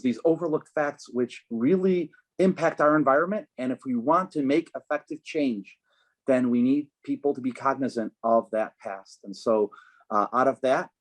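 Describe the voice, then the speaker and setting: A man running for office, answering interview questions in an earnest, measured way with frequent ums. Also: mid-range voice. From United States, Chicago. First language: Polish. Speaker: political candidate, guest.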